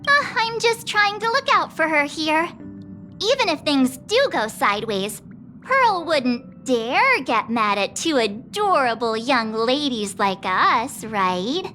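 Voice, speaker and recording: A bubbly, very high-pitched girl. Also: sing-song, slow, pushed and bright, soft background music, full character voice. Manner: bubbly, playful, boastful. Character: cheerful, cocky young woman adventurer.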